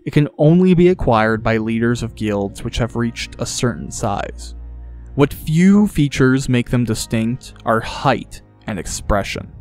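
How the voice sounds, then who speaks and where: A man's voice: medium pitch, darkish tone. A man reading scripted fantasy narration as an audiobook narrator.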